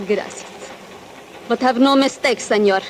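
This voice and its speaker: A woman's voice: a little high, a little slow, close to an everyday voice. An actress playing a fierce young Mexican woman after gold, in a Mexican Spanish accent, in 1940s radio drama style, speaking defiantly. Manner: defiant, threatening. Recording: old radio broadcast, faint hiss.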